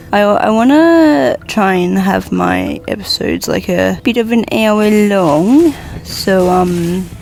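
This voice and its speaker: A woman talks in an unhurried, gravelly drawl with plenty of vocal fry, slightly low in pitch. She hosts a solo podcast like a loose hangout, rambling informally and poking fun at herself. Faint music plays behind her. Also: a little mellow. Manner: informal, self-deprecating, joking. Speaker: podcast host.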